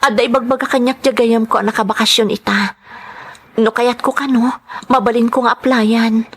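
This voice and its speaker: A woman's voice: a little high, fairly even intonation, moderate pace, close to an everyday voice. A woman acting a burdened young wife and mother in an Ilocano melodrama, earnest and sorrowful, with an Ilocano accent. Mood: troubled. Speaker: drama lead and narrator.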